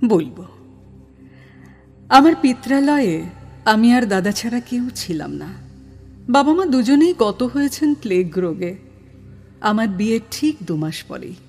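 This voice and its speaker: A breathy, wistful female ghost. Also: on the high side, big pitch swings, a little mellow, full character voice. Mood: melancholy. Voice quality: sweet-voiced, breathy. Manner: slow, plaintive, dramatic pauses. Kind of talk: Bengali audio horror drama acting. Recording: music under the voice.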